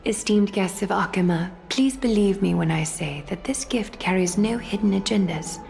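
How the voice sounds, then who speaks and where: A woman playing a composed, regal noblewoman in a video game, speaking in a soft, formal, courtly and measured way. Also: medium pitch, gravelly, faint music behind. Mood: poised, courteous.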